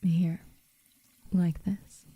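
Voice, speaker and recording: A woman playing an aloof, teasing but secretly caring witch in a soft, breathy, intimate ASMR roleplay. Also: on the low side, level tone, no room sound, lo-fi sound, heavily acted. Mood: gently instructing. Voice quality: soft, breathy, often near a whisper. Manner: unhurried, hushed, dryly teasing.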